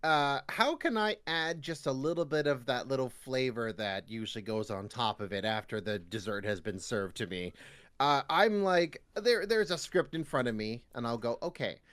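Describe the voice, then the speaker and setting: A man talks casually as an interview guest about his craft. His mid-pitched voice moves around a lot, giving an animated delivery, and he slips into little acted-out remarks as he explains himself. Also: normal speed, clean tone. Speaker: guest voice actor. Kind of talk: casual podcast interview chat.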